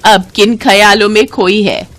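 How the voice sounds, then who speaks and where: A woman's voice: medium pitch, steady tone, measured pace, a bit bright, no echo, natural voice. A woman voicing a miserly, bossy older mother-in-law who scolds and complains in a storybook tale. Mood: stern, scolding.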